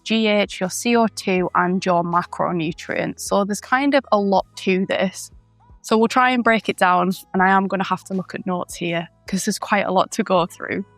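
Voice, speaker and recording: Croaky-voiced woman hosting an aquarium lesson. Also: mid-range voice, no room sound. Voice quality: gravelly. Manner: chatty, informal, with fillers and self-corrections. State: croaky voice from being unwell. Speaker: aquarium channel host. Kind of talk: educational explainer.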